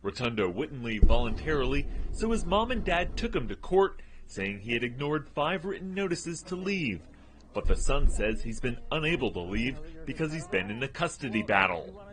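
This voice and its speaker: A man's voice: medium pitch, sing-song, normal speed. A man reading scripted news narration for a short video report. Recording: news clip with clear background noise.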